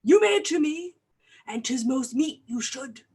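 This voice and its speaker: A woman playing a troubled, watchful doctor in a grave, dramatic Shakespearean reading, husky and at times hushed. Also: sits high, expressive, unhurried, heavily acted. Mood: probing. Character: troubled, watchful doctor observing a sleepwalking patient. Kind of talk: Shakespearean acting in an online staged reading.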